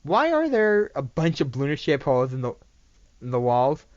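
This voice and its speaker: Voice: medium pitch, sing-song, normal speed, a little mellow, no echo, dull audio, pitched below the performer's own voice, lightly acted. A reader voicing a confused, innocent chaos creature who asks things in a hesitant, puzzled way.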